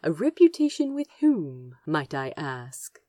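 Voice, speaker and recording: A woman playing a gentle, smitten, politely teasing man in audio fiction, speaking with precise, soft phrasing. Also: sits low, very animated, a little slow, dry recording, full character voice. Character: male Aziraphale, gentle, delighted, teasing, smitten know-it-all.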